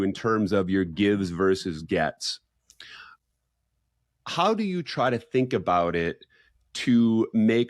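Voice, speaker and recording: Male podcast host, low voice, dry close sound, eager, restarts questions. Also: dry recording. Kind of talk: interviewing a guest.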